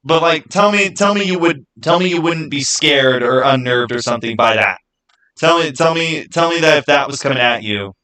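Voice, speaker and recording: A man's voice: fairly high pitch, lively intonation, normal speed, bright, forceful tone. A man hosting an unscripted, casual gaming commentary, stating his opinions emphatically and repeating phrases to make his point. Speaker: video host giving his opinions.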